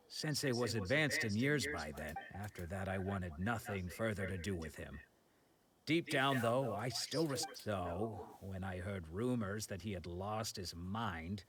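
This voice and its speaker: A man's voice: fairly low pitch, natural voice. An actor playing a proud, embittered swordsman in a game, speaking in a formal, guarded, brooding way. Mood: brooding, regretful. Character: proud, embittered swordsman recalling an old rival. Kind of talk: dramatic game dialogue.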